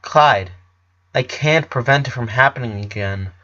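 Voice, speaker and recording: A man voicing a gentle, weary eleven-year-old boy who pleads earnestly in a story reading. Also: medium pitch, a little slow, muffled recording. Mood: desperate.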